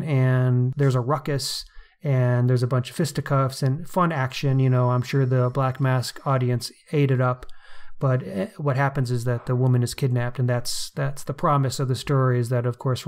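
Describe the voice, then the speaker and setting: A man's voice: medium pitch, a little mellow. A man co-hosting a podcast, discussing fiction casually and appreciatively as he thinks aloud. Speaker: podcast co-host.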